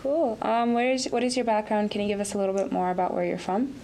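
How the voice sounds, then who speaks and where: A woman asks podcast interview questions, often opening with a hesitant filler. Her voice sits at a middle pitch, with a faint hiss in the background. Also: little pitch movement, fast talker, warm tone. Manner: hesitant, starts with "um".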